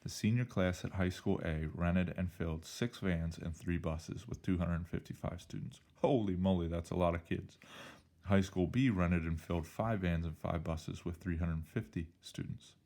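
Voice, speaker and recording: A male math teacher, slightly hoarse, talking through an algebra problem in an upbeat, playful way with jokey exclamations. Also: low-pitched, steady tone, moderate pace, warm tone. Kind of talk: recorded algebra lesson walkthrough.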